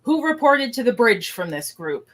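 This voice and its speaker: A woman's voice: on the high side, very animated, normal speed, fairly bright tone. A woman voicing a confident, flirtatious male starship first officer in an amateur radio play. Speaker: podcaster acting in script reenactment.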